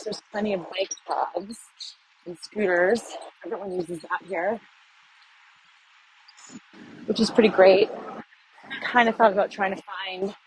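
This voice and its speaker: A woman's voice: medium pitch, low-quality recording. A middle-aged woman from the United States, rambling and thinking aloud as she films a casual walking vlog outdoors on city streets. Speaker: vlogger. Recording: faint street noise behind.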